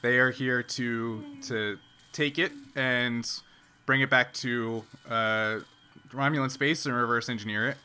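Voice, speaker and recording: Male game master, bright tone, unscripted tabletop narration with a thinking-aloud delivery. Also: mid-range voice, fairly even intonation, unhurried, smooth.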